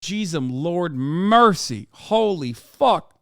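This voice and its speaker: A young man streaming casual, slangy reaction commentary, animated and full of swearing. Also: fairly high pitch, slow pace, vocal fry, no echo, clean studio sound.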